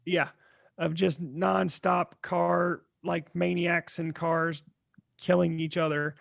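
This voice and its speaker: A man co-hosting a podcast, chatting casually about films and games in a blunt, joking way with halting restarts. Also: a little high, flat delivery, gravelly, fairly bright tone, no room sound, studio quality. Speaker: podcast co-host.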